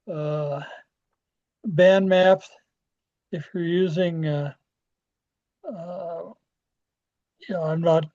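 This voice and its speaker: An American man giving an informal software walkthrough as a club trainer, halting and often trailing off as he rambles along. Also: a little high. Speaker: presenter training radio club members. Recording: dull, lo-fi screen-share audio.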